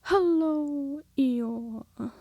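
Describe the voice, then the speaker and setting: A woman's voice: high-pitched, very slow, dark tone, no room sound, voice pushed up. A woman voicing a friendly, eager male teddy bear in a storybook reading, in a welcoming tone.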